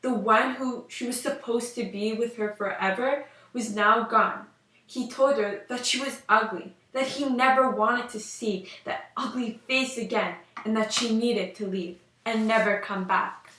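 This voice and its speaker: High, bright young woman narrating a fairytale. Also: steady tone, unhurried. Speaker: storyteller. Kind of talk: scripted fairy tale narration. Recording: roomy echo, distant microphone.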